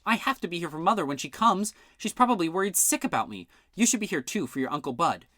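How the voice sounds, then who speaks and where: A narrator voicing an anxious, stubborn young girl in an audiobook, pitched high and earnest. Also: very animated, fast talker, a bit bright, no echo, studio quality. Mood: worried.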